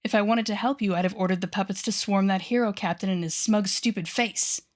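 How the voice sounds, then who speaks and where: A woman playing a haughty, sardonic telepathic villain with a hidden soft side, speaking straight to the listener in an audio roleplay. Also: medium pitch, fairly even intonation, natural voice. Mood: reluctant, flustered. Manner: sardonic, theatrical, teasing, with sighs and deliberate pauses. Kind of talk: intimate audio roleplay acting addressed to the listener.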